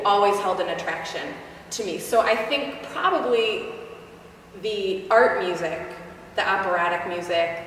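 A woman's voice: fairly low pitch, slight background noise. Candid woman singer in an echoey church. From Boston. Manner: thoughtful, candid, self-deprecating. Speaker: opera singer. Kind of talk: reflective interview. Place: large church sanctuary. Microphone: camera at a distance. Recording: echoey church heard from a distance.

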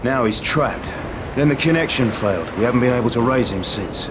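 An actor playing a grave, loyal resistance ally in a video game cutscene, speaking in a measured, matter-of-fact way. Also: male voice, medium pitch, expressive, close to an everyday voice. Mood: urgent, informing. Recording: clear background noise, outdoor urban ambience.

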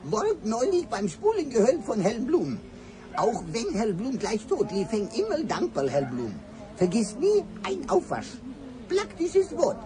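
A man's voice: extremely high pitch, full character voice, poor audio. A servile radio-play character. His clipped, broken phrases swoop up and down deferentially, over a busy crowd background. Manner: clipped broken grammar, deferential third-person self-reference. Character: servile Chinese man speaking broken German.

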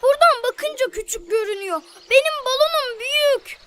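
A woman's voice: extremely high pitch, fairly quick, fairly bright tone, a little hiss or hum. A woman voicing a cheerful, playful little girl in a children's cartoon, speaking with sweet, earnest simplicity.

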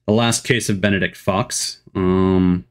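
A man's voice: sits low, steady tone, measured pace, clear, resonant tone. A man livestreaming casual reactions to a games showcase, wry and sweary. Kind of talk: casual live reaction chat.

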